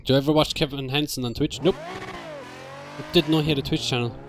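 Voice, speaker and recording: A man's voice: fairly high pitch, fairly even intonation, a little fast. Laid-back Irish guy streaming, noisy. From Ireland. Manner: laid-back, jokey, reads chat aloud. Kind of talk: casual chat while gaming. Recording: noisy stream with car sounds. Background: racing game engine and tire noise.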